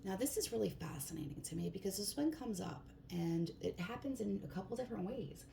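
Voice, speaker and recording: A woman with a hoarse voice giving a casual tarot card reading in a relaxed, chatty way, with plenty of fillers. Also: medium pitch, brisk. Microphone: phone. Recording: echoey room, faint background hiss.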